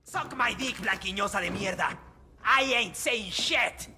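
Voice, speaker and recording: An actor playing a cornered, foul-mouthed macho cartel lieutenant with a Latin American Spanish accent in a game cutscene, defiant and agitated. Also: male voice, high voice, a little slow, pushed and bright, slight background noise, full character voice.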